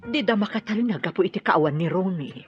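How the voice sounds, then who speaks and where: A woman's voice: fairly low pitch, animated, fast, lightly acted. A woman playing a concerned confidante in a radio drama, talking over a troubling disappearance. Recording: dull lo-fi audio over music.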